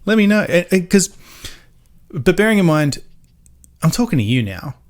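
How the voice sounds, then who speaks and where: A man's voice: high-pitched, big pitch swings, normal speed. A man from Sydney with a mild Australian accent, rambling and restarting sentences as a podcast co-host in casual chat. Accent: mild Australian, softened by years in America. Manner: rambling, restarts mid-sentence, laughs easily.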